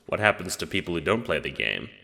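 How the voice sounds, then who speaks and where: Audio drama lead: deep, mild, curious newcomer to the afterlife voiced by a man, hesitant, in long reverb. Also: sing-song, fast, gravelly, sharp tone, heavily acted. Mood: questioning. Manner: hesitant, questioning. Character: newly dead player, curious, mild, hesitant.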